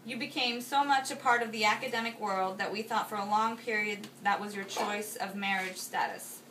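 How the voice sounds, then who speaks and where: A woman's voice: mid-range voice, steady tone, moderate pace, pushed and bright. A woman reading a speech aloud at a party, with casual asides. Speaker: toast reader at a birthday party. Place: indoor house party in a kitchen. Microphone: handheld phone camera a few feet away. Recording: noisy party, phone mic at a distance.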